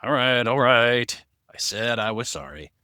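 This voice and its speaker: A man's voice: medium pitch, steady tone, a little slow, creaky, bright, forceful tone, smooth, no echo, studio quality. A man co-hosting a casual podcast discussion, talking as the writer of the story. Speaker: podcast co-host, story writer and amateur voice actor.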